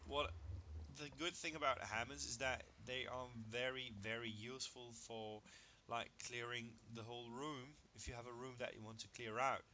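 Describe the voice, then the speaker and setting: A man whose first language is Danish, giving casual gameplay commentary. He is excitable, marvels out loud at what he builds, and counts under his breath. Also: mid-range voice, a little hiss or hum. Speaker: gaming video creator.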